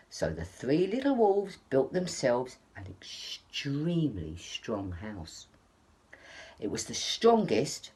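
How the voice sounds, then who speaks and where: A woman's voice: a bass voice, darkish tone, husky. A woman reading a children's storybook aloud in an emphatic, dramatic way. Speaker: story reader.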